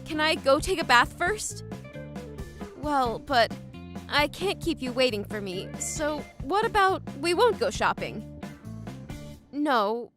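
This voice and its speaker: A sweet young female student from a manga cartoon, played by a woman, frank and polite. Her voice is high-pitched and matter-of-fact. Music runs clearly underneath. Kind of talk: manga dub dialogue. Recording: music behind the voice. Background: background music.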